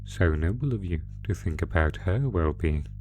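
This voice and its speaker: A man playing a sadistic monster who taunts captive prey with theatrical, drawn-out menace in an ASMR horror audio roleplay. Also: low-pitched, lively intonation, noisy background. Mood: mocking, toying.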